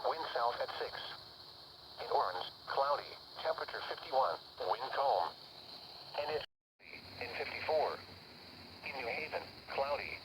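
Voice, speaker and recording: Automated male weather announcer over noisy radio. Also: medium pitch. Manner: clipped, formulaic readout. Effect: handheld radio speaker. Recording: noisy radio broadcast through small speaker.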